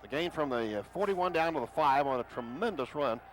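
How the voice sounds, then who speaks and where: Excitable radio football announcer, man. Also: a little high. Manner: rapid excited calls rising to shouts on big plays. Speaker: play-by-play announcer. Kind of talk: radio play-by-play commentary. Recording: noisy stadium radio broadcast.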